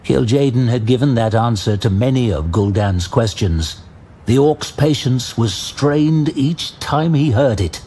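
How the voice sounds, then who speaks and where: A man narrating a fantasy audio drama in a measured, deliberate, suspenseful storytelling style. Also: mid-range voice, dark tone, slight background noise. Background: music.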